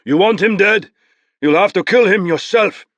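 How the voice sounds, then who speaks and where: A man voicing a gruff, steady oarsman who answers while rowing, in an audiobook. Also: sits high, normal speed, no echo, voice pushed up. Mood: defiant.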